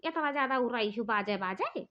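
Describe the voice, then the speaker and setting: Woman voicing a bossy, high-pitched Awadhi sister-in-law. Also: animated, normal speed, lightly acted. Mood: scolding. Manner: scolding, taunting, loud. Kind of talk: cartoon voice acting. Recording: dull lo-fi recording.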